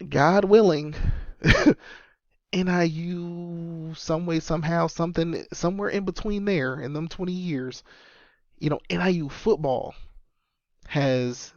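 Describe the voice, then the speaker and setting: A man's voice: a little high, a little mellow, no echo, highs cut off. A man in his thirties hosting a casual solo sports podcast, talking emphatically and repeating his points for stress. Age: 30s. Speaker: podcast host and sports fan.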